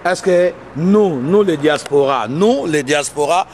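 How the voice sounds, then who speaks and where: A man's voice: a little high, animated, slow, a little mellow, a little hiss or hum. A man interviewing passers-by on a street, asking short, prodding questions. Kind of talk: vox pop questions. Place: city street outdoors.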